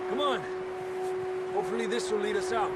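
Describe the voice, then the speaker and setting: An actor playing a calm, reassuring outlaw of the old frontier in a video game cutscene. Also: male voice, sits high, fairly quick, close to an everyday voice. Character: steady, reassuring male frontier outlaw rescuer. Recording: loud film-like music behind the voice. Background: loud music.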